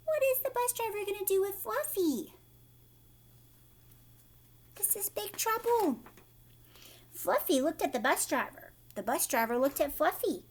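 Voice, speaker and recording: High-pitched, animated woman reading to children. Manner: expressive, asks the listener questions. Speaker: teacher reading a storybook aloud to children. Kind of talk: read-aloud storytelling with asides to young listeners.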